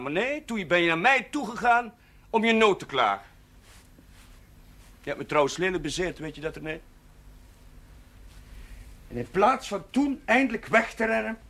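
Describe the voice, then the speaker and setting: An actor playing an earnest, patient and compassionate village chaplain in a Dutch television period drama. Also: male voice, high voice, pushed and bright, full character voice. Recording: faint background noise, old TV soundtrack.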